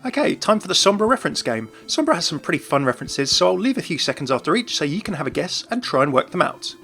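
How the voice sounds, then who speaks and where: Fast-talking, higher-pitched British man. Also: sing-song, fairly bright tone. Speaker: gaming video host. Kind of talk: enthusiastic video narration and explainer. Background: faint music.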